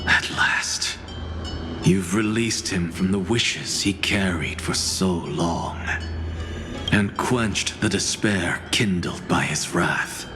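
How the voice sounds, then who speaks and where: Solemn deep-voiced game figure, very slow and hushed, music behind. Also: male voice. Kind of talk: game cutscene voice acting.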